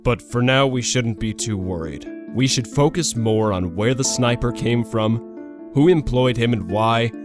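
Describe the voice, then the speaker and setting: A man playing a calm, authoritative commander in an audio drama, with a measured, formal way of speaking. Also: medium pitch, lightly acted. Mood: composed, firm. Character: calm, authoritative male commander of hero legion. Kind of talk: scripted audio drama acting. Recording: voice over clear guitar music.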